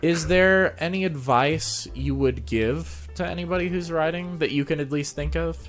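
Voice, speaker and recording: A man's voice: fairly high pitch, moderate pace. A man hosting a casual interview, speaking in an informal, agreeable way with loose phrasing. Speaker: interviewer, show host. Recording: music playing behind the voice. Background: background music.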